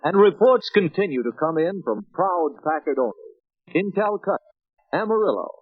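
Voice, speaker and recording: High, animated American male film announcer. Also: moderate pace, husky, dry recording. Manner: punchy, emphatic announcer delivery. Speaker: second narrator of a sales training film. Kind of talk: 1950s promotional scripted narration. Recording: dull, dry vintage film soundtrack.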